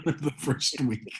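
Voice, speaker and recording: A British man co-hosting a podcast, joking and laughing often in casual chat. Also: a little hiss or hum. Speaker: podcast co-host.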